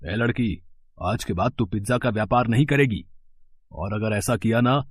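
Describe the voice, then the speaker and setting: A man's voice: on the low side, normal speed, slightly rough, no room sound. Man acting a rude, blustering vendor, close dry sound. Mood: threatening. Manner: scolding, blustering. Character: rude, threatening, jealous pizza vendor man. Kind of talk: Hindi moral cartoon story acting.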